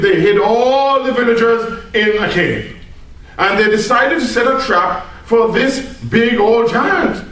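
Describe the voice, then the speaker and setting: A woman telling a comic tall tale live to an audience in a theatrical, mock-earnest manner, in a room. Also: mid-range voice. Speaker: storyteller at a speech club. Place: echoey indoor room, speaking to an audience. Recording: very echoey room, distant microphone.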